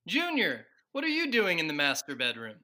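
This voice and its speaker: A woman's voice: low-pitched, huge pitch swings, moderate pace, pushed and bright, full character voice. A woman playing an exasperated, put-upon father in a parody of a 1940s radio sitcom, whiny, grumbling and blustering. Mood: resigned, sour.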